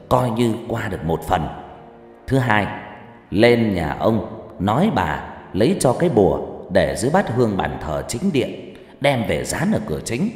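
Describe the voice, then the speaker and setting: Guy doing a gruff old village sorcerer, scolding and bossy, loud swingy delivery, echoey with music behind. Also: fairly low pitch. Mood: stern, commanding. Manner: commanding, blunt, scolding. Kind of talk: dramatized horror storytelling. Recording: echoey hall reverb.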